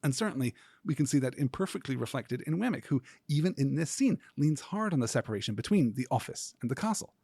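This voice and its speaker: A man hosting a podcast as a scripted literary lecture, speaking in a measured, earnest, academic way. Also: mid-range voice, lively intonation, a little mellow, slightly rough, no echo, studio quality. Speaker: podcast host.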